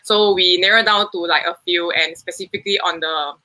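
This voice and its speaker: Singaporean girl producer rambling thru her answers, clear bright tone. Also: on the low side, steady tone, brisk, rough recording. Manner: rambling, self-correcting, chatty. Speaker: student film producer. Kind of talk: casual interview answers.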